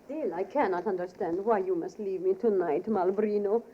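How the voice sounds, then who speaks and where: A woman's voice: medium pitch, moderate pace, natural voice. An actress playing a solemn blind woman mentalist with formal, theatrical 1940s radio delivery. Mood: anxious, pleading. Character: blind female stage mentalist, solemn, soft, uneasy. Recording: muffled, noisy old radio broadcast.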